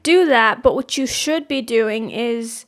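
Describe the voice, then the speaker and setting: A woman's voice: on the high side, takes it slowly. A woman in her twenties co-hosting a podcast, talking casually and rambling, searching for words with lots of fillers. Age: 20s. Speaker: podcast co-host. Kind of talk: casual chat.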